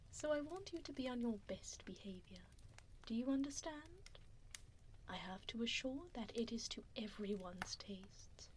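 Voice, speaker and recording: A woman playing a cruel, haughty vampire hostess in an ASMR roleplay, speaking in breathy whispers and soft voice with condescending, formal diction, close to the microphone. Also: mid-range voice, a little hiss or hum, close to an everyday voice, poor audio. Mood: cold, scolding. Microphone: close binaural microphone.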